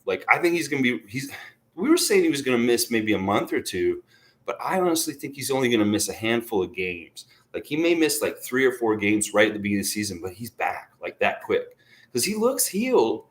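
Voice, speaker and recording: A man talks off the cuff like a devoted sports fan. He is enthusiastic and rambling, often circling back to repeat a point for emphasis. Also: lowish voice. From Canada. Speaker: sports vlogger, basketball fan commentator. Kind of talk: casual unscripted fan commentary.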